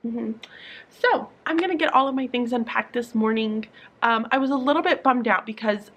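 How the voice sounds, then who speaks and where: A young woman teacher talks to camera from her classroom early in the morning, her voice a little high and still sleepy. She rambles casually, with plenty of fillers, false starts and restarted sentences. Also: normal speed, a bit bright. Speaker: teacher vlogger.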